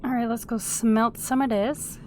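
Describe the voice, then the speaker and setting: A woman's voice: on the high side, fairly even intonation, moderate pace, darkish tone, slight background noise. A woman with a sniffly cold, casually commenting while she plays a game and humming little tunes to herself. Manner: chatty, hums and sings little tunes while playing. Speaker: gameplay video host. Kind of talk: casual let's-play commentary.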